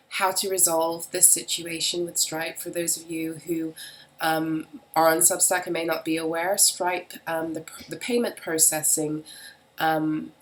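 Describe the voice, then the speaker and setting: A woman reading tarot and astrology in a casual solo video, hoarse and raspy from a head cold, talking in a halting way with frequent ums. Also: fairly bright tone, rough recording. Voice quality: raspy, deeper than usual. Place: at home in the UK.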